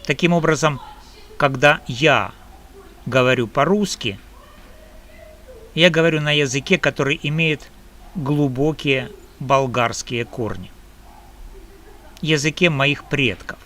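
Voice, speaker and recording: A man from Ukraine delivering a personal opinion monologue to camera, slow and emphatic in measured phrases. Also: a little high, sharp tone, slight background noise. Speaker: video blogger.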